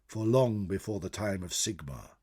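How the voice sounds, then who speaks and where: A man voicing a venerable old scholar in solemn, theatrical fantasy narration, with a husky voice that swells and drops away. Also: sits low, animated, moderate pace, a little mellow. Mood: mournful. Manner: theatrical, solemn, swelling then dropping to whispers. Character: venerable old male scholar chronicler, grave, fearful, awed by ancient lore. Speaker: storyteller. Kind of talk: dramatic in-character fantasy narration.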